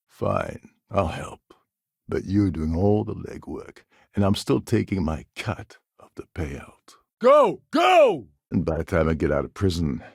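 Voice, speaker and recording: A man playing a gruff, sardonic space pirate con man in a video game, with a gravelly, husky voice and a wry, scheming manner. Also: sits low, no echo, clean studio sound, full character voice. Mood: irritated, sarcastic, then shouting, urgent, then grim. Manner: wry, sarcastic, world-weary.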